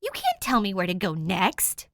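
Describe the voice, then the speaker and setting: A woman's voice: medium pitch, huge pitch swings, moderate pace, fairly bright tone, no echo, studio quality. A woman voicing a stubborn young woman who answers curtly and snaps back. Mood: defiant. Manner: curt and stubborn.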